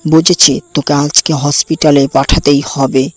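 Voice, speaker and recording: A man's voice: fairly high pitch, little pitch movement, measured pace, dry recording, soft background music. A man playing a possessive, threatening young campus bully in an audio drama, speaking with commanding contempt. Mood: scornful, aggressive. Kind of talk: audio story acting.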